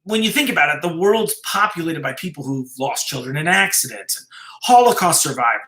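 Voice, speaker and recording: Man reading a story, bright echoey voice. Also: a little high, animated, a little fast. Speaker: storyteller reading a written horror story aloud. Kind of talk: live dramatic story reading, first-person narration. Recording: roomy, echoey live-stream sound.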